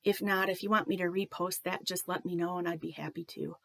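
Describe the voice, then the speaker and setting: A woman talking to camera in a joking, self-deprecating way, with many pauses and a slightly nasal voice. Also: fairly low pitch, fairly even intonation, vocal fry, a bit bright, no room sound. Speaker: video creator.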